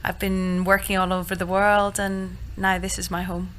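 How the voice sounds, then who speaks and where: A woman's voice: mid-range voice, completely flat delivery, moderate pace, fairly bright tone. A woman from Scotland, a musical theatre actress talking upbeat about her work. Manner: friendly, upbeat.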